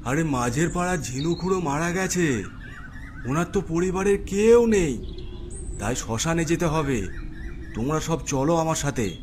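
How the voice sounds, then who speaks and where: A man's voice: on the high side, animated, a little slow, long pauses, darkish tone, slight background noise, pitched above the performer's own voice. A man voicing an urgent village man rallying his companions in colloquial horror cartoon dialogue. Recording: music behind the voice.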